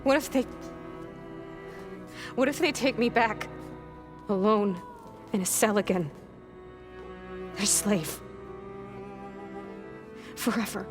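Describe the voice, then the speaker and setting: A woman's voice: mid-range voice, takes it slowly, long pauses, natural voice. An actress playing an anxious, despairing young woman in a video game, pleading and emotionally raw. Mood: fearful. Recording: game dialogue over music.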